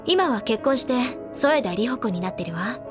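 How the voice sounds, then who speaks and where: A woman playing a confident, teasing high-school senior girl who recounts gossip in a feminine storytelling way in an audio drama. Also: lively intonation, normal speed, over music, natural voice. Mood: playful, informative. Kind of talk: anime audio drama dialogue.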